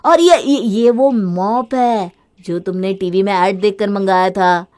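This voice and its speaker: A woman's voice: mid-range voice, a little slow, a little mellow, pitched below the performer's own voice, close to an everyday voice. A woman voicing a young husband in a storybook drama, fed up with his wife's spending and mocking her sarcastically. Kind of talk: storybook voice acting.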